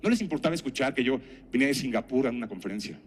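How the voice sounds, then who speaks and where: A middle-aged man with a Mexican accent giving a conference talk, telling anecdotes and asking rhetorical questions in a didactic, deliberate way. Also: medium pitch, animated, a little hiss or hum. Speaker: conference speaker and health professional.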